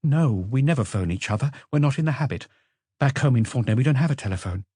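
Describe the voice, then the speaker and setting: A man voicing an anxious, guarded young woman in an audiobook, soft-spoken and hesitant as she answers police questions. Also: mid-range voice, lively intonation, fast, slightly rough, no room sound, studio quality, pitched above the performer's own voice. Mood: worried.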